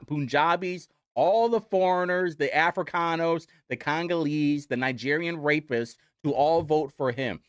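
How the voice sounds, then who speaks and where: Young Midwestern man, show host. Sarcastic, mocking delivery, lively pitch, dry close sound. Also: dry recording. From Indiana, United States. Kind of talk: casual commentary show.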